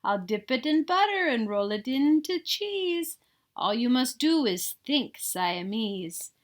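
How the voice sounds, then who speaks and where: Woman plays storybook mama cat: fairly high, emphatic, firm, part scolding, part coaxing. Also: very animated, full character voice. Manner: emphatic, drawn-out commands. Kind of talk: expressive picture-book read-aloud.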